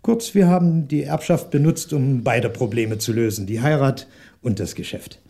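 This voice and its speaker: A former private detective turned shopkeeper in a 1960s German radio crime play. His voice is husky and dark, mid-pitched, and he speaks politely but hesitantly, stalling and evading. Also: normal speed. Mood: evasive. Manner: courteous, hesitant, evasive, with stalling interjections.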